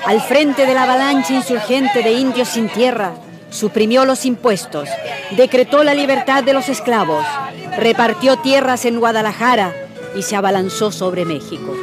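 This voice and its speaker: A woman's voice: fairly high pitch, moderate pace, over loud music, a loud crowd. A woman reading scripted narration for a radio drama.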